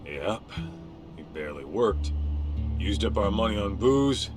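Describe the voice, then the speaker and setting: A man's voice: animated, unhurried. An actor playing a plainspoken male detective in a game cutscene, over music. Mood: frank. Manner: low, laid-back, plainspoken. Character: gruff, blunt older-brother type male detective. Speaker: private detective lead. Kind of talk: game cutscene dub acting. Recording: game audio with music underneath.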